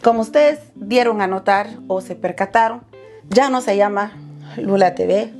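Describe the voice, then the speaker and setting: A woman's voice: mid-range voice, normal speed, darkish tone, soft background music. A Salvadoran woman in her thirties, hosting her channel and telling her life story in a heartfelt, personal way, calling her viewers "chulis". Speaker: channel host.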